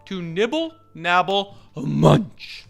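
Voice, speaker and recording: A man's voice: sits high, sing-song, drawn-out words, gravelly, faint music behind, heavily acted. A man voicing a greedy male hare from a storybook, sniffy and relishing every word. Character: greedy, snooty male hare savouring food.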